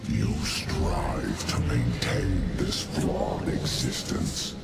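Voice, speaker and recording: A man playing a vast, evil dark god in a video game, growling with menacing, contemptuous grandeur. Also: very deep voice, drawn-out words, exaggerated voice. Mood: cold contempt. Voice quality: gravelly, growling, breathy. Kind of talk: cinematic video game villain acting. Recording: loud cinematic music behind. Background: loud music.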